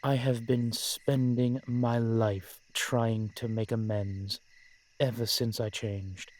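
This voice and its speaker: A man playing a brooding, protective vampire in an audio roleplay, speaking in formal, measured phrases with dramatic pauses. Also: medium pitch, little pitch movement, darkish tone, close to an everyday voice. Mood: weary, guarded. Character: brooding, protective male vampire, old-fashioned and frustrated. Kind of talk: scripted audio roleplay acting addressed to the listener.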